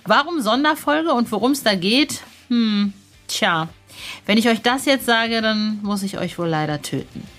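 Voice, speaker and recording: A woman's voice: a little high, expressive, measured pace, a bit bright, faint music behind. A woman hosting a podcast in a casual, chatty and playful way. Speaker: podcast host.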